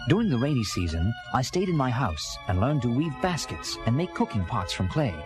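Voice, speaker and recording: A man's voice: mid-range voice, very animated, brisk, natural voice. An actor playing an earnest castaway sea captain, telling his story in theatrical first-person storybook narration. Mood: reflective. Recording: music bed under early-1980s children's record. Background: dramatic background music.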